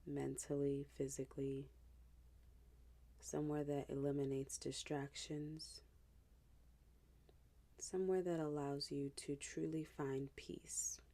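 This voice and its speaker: Woman, meditation guide, very slow pace, creaky low edge, hushed, gentle, soothing scripture-based narration. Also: little pitch movement. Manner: hushed, gentle, unhurried, with pauses.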